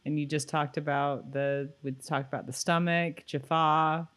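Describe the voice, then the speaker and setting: A woman's voice: deep voice, steady tone, unhurried, a bit bright. A woman chatting casually as co-host of a podcast that recaps TV episodes.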